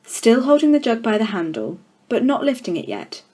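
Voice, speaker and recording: A woman storyteller giving an expressive children's audiobook narration with deliberate, dramatic phrasing. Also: a little high, slightly rough.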